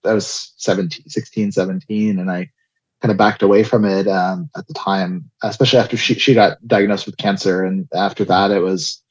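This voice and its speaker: A young man with a lowish voice tells his story as an interview guest. He hesitates and restarts his sentences often. The sound is dull and lo-fi. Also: steady tone, normal speed, slightly rough. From Israel, raised in South Florida. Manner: hesitant, many restarts and fillers, soft laughs. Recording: dull lo-fi audio.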